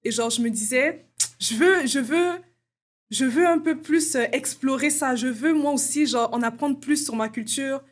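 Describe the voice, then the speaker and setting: A woman's voice: high-pitched, normal speed. A young woman from Congo, a student guest, talking casually in an interview with lots of fillers. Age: young adult. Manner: casual, many fillers like "genre" and "euh".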